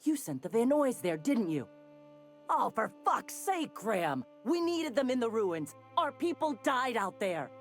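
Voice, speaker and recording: A woman's voice: fairly high pitch, very animated, measured pace, soft background music. An actress playing a blunt, exasperated woman rebel officer who swears freely.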